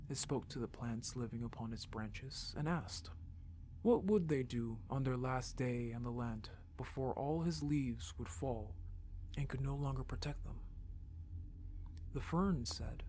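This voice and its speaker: A man voicing an earnest young rebel in an audio drama, who speaks softly when telling a story and gets urgent when worried. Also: medium pitch, a little hiss or hum, natural voice. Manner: soft storytelling, turns urgent and upset.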